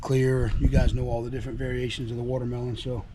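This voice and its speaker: A man with a hoarse, worn voice from being sick, casually showing off truck parts with folksy enthusiasm and lots of repeats. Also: mid-range voice, flat delivery, fast talker, mellow, a little hiss or hum, low-quality recording. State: hoarse from illness. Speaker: trucking vlogger. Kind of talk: casual product show-and-tell. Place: outdoors at a house.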